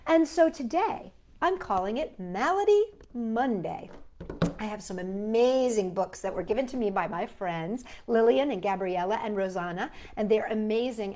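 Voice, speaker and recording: A woman's voice: on the high side, a little mellow. A woman hosting a children's storytime, chatting playfully and speaking directly to young children. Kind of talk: playful children's storytime with reading and sing-along.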